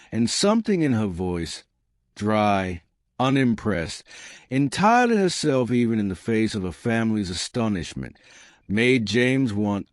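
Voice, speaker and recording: A man narrating a historical romance story with measured, formal literary phrasing. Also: on the low side, no echo, studio quality. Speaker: audiobook narrator.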